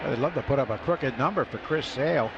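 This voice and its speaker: A man's voice: mid-range voice, fairly quick, vocal fry. An American man giving color commentary and analysis during a radio baseball broadcast. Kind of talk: radio sports analysis between calls. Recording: dull radio broadcast with loud background noise.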